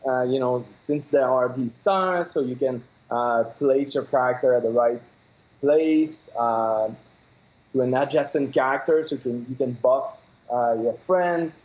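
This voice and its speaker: A man's voice: medium pitch, darkish tone. Quebecois man in his 40s, French first language, hesitant second-language English, muffled call audio. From Quebec, Canada. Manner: halting, searches for English words, many uh fillers. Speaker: game developer guest. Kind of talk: phone-in interview. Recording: dull lo-fi call line.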